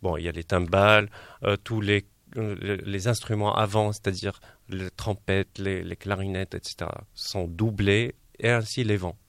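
A Moroccan-born man whose first language is Arabic, an opera baritone and director, answering radio interview questions with many hesitations and restarts. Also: steady tone, normal speed, fairly bright tone, no echo. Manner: baritone singer who places his voice.

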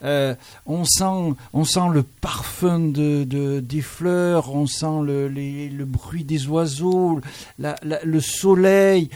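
Musing male radio host, higher voice. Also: takes it slowly, dry recording. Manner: reflective and musing, warming to lyrical asides. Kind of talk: radio interview. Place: studio.

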